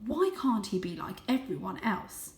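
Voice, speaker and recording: A woman's voice: medium pitch, big pitch swings, measured pace, vocal fry, darkish tone. Woman reading as a stern father cat, echoey. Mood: disappointed muttering. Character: stern, disapproving father cat, proud and conventional. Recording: roomy echo.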